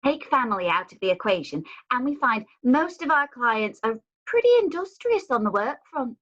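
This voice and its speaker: A woman playing a brisk, practical company saleswoman in a scripted drama read over a slightly muffled video call. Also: on the high side, big pitch swings, a bit bright. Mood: businesslike.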